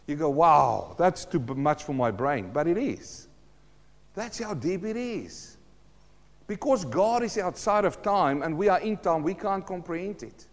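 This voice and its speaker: A middle-aged man preaches earnestly in a church hall. His is Afrikaans, and his voice sits a little high for a man. The hall's long reverb trails after his words. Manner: earnest, addresses listeners as friends, asks rhetorical questions. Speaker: pastor. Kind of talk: sermon.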